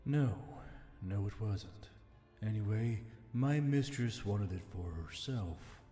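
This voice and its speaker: A man's voice: on the low side, lively intonation, takes it slowly, mellow. A man playing an ancient, aloof spirit bound in a torque, speaking in formal, archaic storytelling phrases for an audiobook. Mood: musing, narrating. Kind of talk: scripted audiobook character acting. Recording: long reverb, music behind.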